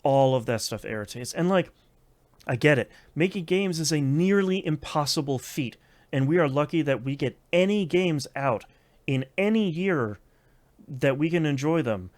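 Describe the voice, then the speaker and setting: A man's voice: a little high, expressive, normal speed, a little mellow. A man co-hosting a video game podcast, talking casually with lots of fillers and restarts. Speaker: podcast co-host. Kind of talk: casual chat.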